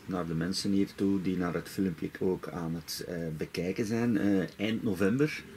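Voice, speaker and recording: A man's voice: sits low, fairly even intonation, normal speed, darkish tone, slight background noise. A man with a Dutch accent interviewing pigeon breeders in casual talk, hesitant, with many filler sounds.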